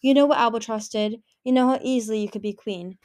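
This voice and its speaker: A woman voicing a cold, menacing male dragon king who growls his lines slowly. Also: on the high side, a little mellow, natural voice. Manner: growling, clipped, icy.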